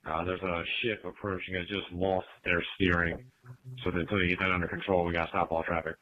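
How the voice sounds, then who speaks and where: A male officer speaks over police radio. His voice is very deep and flat, and the transmission is dull and lo-fi. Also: brisk, dry recording. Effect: two-way radio.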